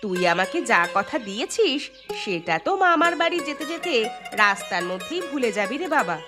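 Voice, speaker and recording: High-voiced, nagging village mother. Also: very animated, normal speed. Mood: skeptical, firm. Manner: scolding, emphatic. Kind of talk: Bengali cartoon story dialogue. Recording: music behind the voice.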